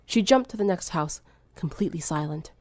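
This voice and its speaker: A woman's voice: on the low side, expressive, fairly quick, darkish tone, dry recording. A woman narrating fan fiction in a dramatic storytelling style.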